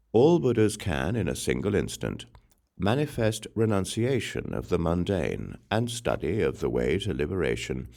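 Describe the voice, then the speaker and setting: Male audiobook narrator for scripture: very deep, creaky register, solemn and measured. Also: a little mellow.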